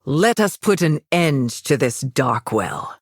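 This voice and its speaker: A woman playing a devout, regal elven leader in a fantasy game, speaking with measured, earnest weight. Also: deep voice, sing-song, slightly rough, no echo, studio quality, exaggerated voice. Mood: solemn resolve. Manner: slow, measured, earnest oration.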